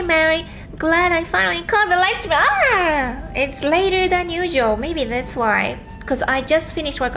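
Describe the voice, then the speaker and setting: A high-pitched woman with a Japanese accent talks on a live stream from home. She speaks quickly and earnestly, often restarting her sentences as she reads and answers viewer comments. Soft music plays faintly in the background. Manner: earnest, fast-talking, with halting restarts. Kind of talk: casual live stream chat.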